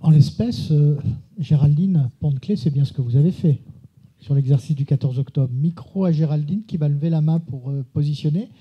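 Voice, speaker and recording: A man's voice: fairly high pitch, normal speed, husky. A man moderating a conference, handing over in short, clipped phrases, on a muffled recording. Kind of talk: brisk event moderation. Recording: dull, muffled sound.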